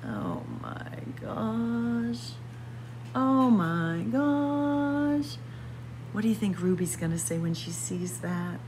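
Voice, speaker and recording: A woman reading a picture book aloud to young children in a playful, expressive story time manner. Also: mid-range voice, extremely slow, slight background noise. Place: indoors, library with bookshelves.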